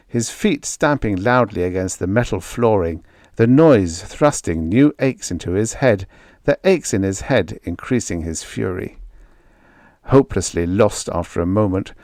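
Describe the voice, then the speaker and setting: A man's voice: lowish voice, a little mellow, no room sound, studio quality. A man reading a story aloud as a scripted audiobook narration.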